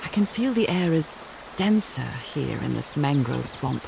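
An actress playing a wistful, curious 1930s woman explorer in a video game, thinking aloud in a gentle, articulate way. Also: very deep voice, expressive, measured pace, vocal fry, noisy background, full character voice. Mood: musing. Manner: gentle, articulate, musing, wonder-struck.

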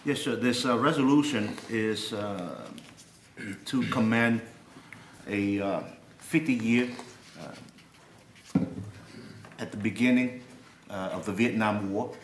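A man with a fairly low voice presents a resolution, halting often on fillers. The sound echoes around a large courtroom with a faint hiss. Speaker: county commissioner. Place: large county courtroom, indoors. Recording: echoey room with faint noise.

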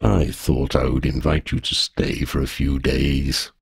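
A man reading a story, voicing a huge female dragon who speaks slowly with smug, rumbling menace. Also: little pitch movement, vocal fry, breathy edge, no echo, studio quality, exaggerated voice. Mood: gloating. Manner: slow, rumbling, gloating.

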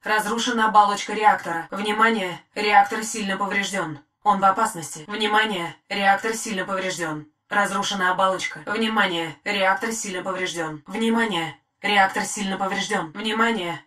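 A woman voicing a calm, dutiful female war-machine AI in a video game, giving terse military status reports in a crisp, even, measured way. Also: mid-range voice, close to an everyday voice. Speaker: titan AI voice. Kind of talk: game voice lines. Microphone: studio mic.

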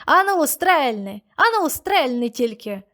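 A woman acts out a gruff, commanding male guard in a spoken story. He barks short orders in a fairly high voice. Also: very animated, measured pace, fairly bright tone, full character voice. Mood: harsh. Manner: barking orders.

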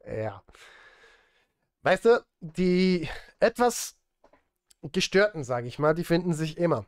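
A man's voice: on the high side, sing-song, slow, no echo, studio quality. A man, chatting casually on a live stream as he works, with self-deprecating, joking asides. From Switzerland. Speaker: streamer and film composer. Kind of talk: casual live-stream chat while composing. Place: indoor streaming setup.

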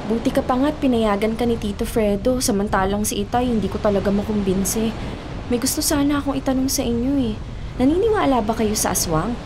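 A young Filipina actress playing a determined college student and persistent daughter in a radio drama. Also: female voice, a little high, a little fast, background noise, natural voice. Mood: confiding. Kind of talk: Tagalog radio drama acting. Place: small padded radio studio. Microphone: close studio condenser with pop filter.

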